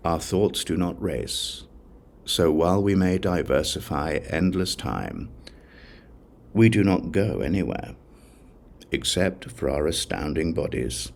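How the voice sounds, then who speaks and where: Really deep voiced guy reading scripture audiobook style, slow n solemn, bit of background noise. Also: creaky. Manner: solemn, measured recitation. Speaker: audiobook reader. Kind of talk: scripted narration of a religious text.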